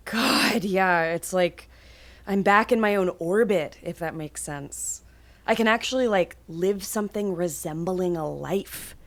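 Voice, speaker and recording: A woman playing an anxious, devoted grown daughter in a naturalistic drama, casual, earnest and halting. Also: on the low side. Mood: relieved, casual.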